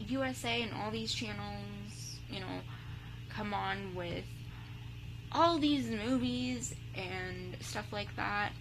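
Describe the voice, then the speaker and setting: A young woman chatting casually as a vlogger, rambling with lots of "like" and "um". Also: mid-range voice. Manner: chatty, full of "like" and "um" fillers. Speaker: vlogger reacting to a video. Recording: steady background hum.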